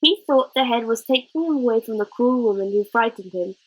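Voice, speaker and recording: A woman reading a children's novel aloud as narrator, in an expressive storybook style. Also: on the high side, a little fast, husky, rough recording.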